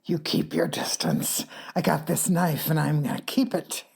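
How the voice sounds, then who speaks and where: A possessed girl with an old crone's voice, slow, gravelly, threatening. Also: low-pitched, steady tone, darkish tone, pitched below the performer's own voice, full character voice. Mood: menacing. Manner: menacing, slow and hissing. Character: possessed girl speaking as a spiteful old woman.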